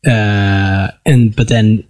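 A man's voice: on the low side, steady tone, drawn-out words, darkish tone. An American man as a guest, speaking thoughtfully with hesitations and restarts. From United States. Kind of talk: casual chat.

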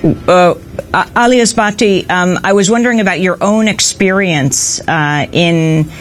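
Female interviewer, lowish voice, very unhurried and hesitant, live news questioning over background noise. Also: faint music behind. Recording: clear background ambient noise.

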